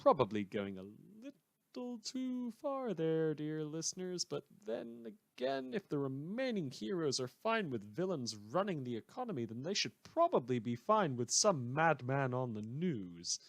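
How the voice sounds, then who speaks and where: A man's voice: on the high side, big pitch swings, unhurried, dry recording. Man playing a sardonic radio newscaster, dry close sound. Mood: sardonic, chatty. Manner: wry, theatrical, conversational asides. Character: sardonic, rambling madman community radio newscaster in fiction. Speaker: radio news host. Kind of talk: satirical scripted radio news monologue.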